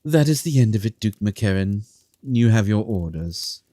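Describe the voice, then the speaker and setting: A man's voice: lowish voice, expressive, moderate pace, dark tone, no room sound, studio quality, natural voice. A man playing a haughty, dismissive king in a fantasy audio drama, imperious and contemptuous. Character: haughty, dismissive king giving orders to subjects.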